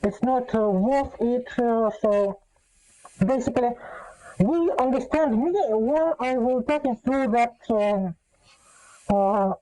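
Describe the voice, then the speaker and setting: Slow, hesitant, higher-pitched woman. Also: mellow, low-quality recording. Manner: halting, hesitant, frequent long filled pauses. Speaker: video host. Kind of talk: casual unscripted explanatory talk.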